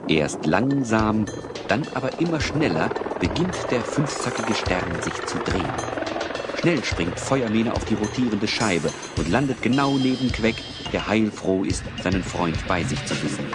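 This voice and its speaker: A man's voice: on the low side, lively intonation, a little slow. A man giving dramatic scripted narration as the narrator of a German children's audio drama. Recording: loud music and effects bed.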